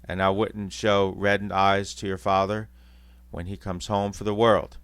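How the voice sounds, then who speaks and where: A man voicing Mrs Cratchit, a gentle grieving mother who speaks softly and with restraint. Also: sits low, flat delivery, unhurried, clear, resonant tone, no echo.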